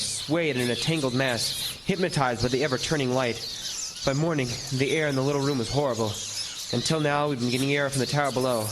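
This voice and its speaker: A man playing a haunted lighthouse keeper, narrating in old-time radio horror style with halting, suspenseful pauses and repeated words. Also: mid-range voice, background noise, lightly acted.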